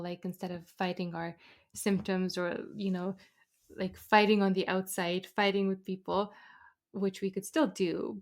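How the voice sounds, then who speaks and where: A woman co-hosting a casual spiritual podcast chat, speaking in a reflective, unhurried way and searching for her words. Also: mid-range voice. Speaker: podcast co-host.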